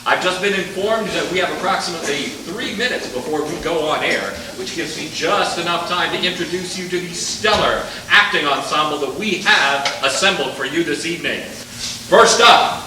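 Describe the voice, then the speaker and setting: A man's voice: on the high side, lively intonation, normal speed, close to an everyday voice. A man playing a genial, grandiose 1940s radio announcer addressing a studio audience in a live stage play. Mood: genial, welcoming. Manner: grandiose, showman-like. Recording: echoey theatre from far off, crowd noise. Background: live audience noise.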